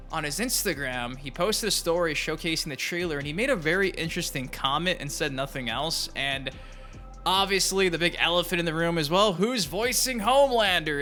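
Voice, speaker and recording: Bright-voiced man hosting gaming news. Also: high-pitched. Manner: chatty, informal, emphatic, repeats words for stress. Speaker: YouTube gaming news host. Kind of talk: casual gaming news commentary. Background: background music.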